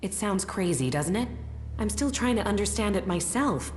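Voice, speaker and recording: An actress playing an earnest, thoughtful mage uneasy about her powers in a video game, speaking in a measured way. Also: medium pitch, expressive, darkish tone, lightly acted. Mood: awed. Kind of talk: video game cutscene acting. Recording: echoey reverberant space, music behind.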